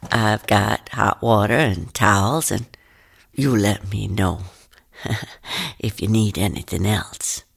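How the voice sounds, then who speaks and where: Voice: mid-range voice, creaky, background noise. A performer playing a folksy, hospitable old woman innkeeper, in an audio drama.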